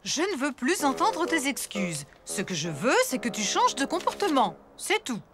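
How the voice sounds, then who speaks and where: A woman's voice: high-pitched, huge pitch swings, moderate pace. A woman playing a firm, caring elephant mother in a French cartoon. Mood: stern. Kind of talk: French cartoon dub acting. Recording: music behind the voice.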